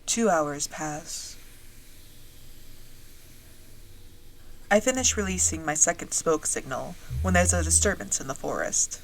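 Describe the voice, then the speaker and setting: Low-pitched female voice, battle-trained dragon rider, wry, measured first-person fantasy audio drama narration, background noise. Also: expressive, creaky, poor audio. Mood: alert.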